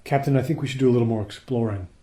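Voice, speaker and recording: A man playing a dutiful crewman reporting to his captain in a radio play, earnest, measured and deferential. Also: mid-range voice, dark tone, lightly acted. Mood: earnest, concerned.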